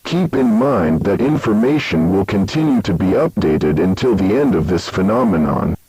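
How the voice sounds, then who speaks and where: A man reads out scripted safety instructions as an announcer. His voice is fairly low and dark, heavily husky and breathy. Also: lively intonation, normal speed, no room sound, low-quality recording. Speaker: emergency alert announcer.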